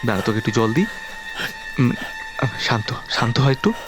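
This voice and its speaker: Male narrator for a spooky audio story, steady mid pitch, eerie music and crickets behind. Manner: measured storytelling, turns anxious in dialogue. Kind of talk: first-person horror audio story narration.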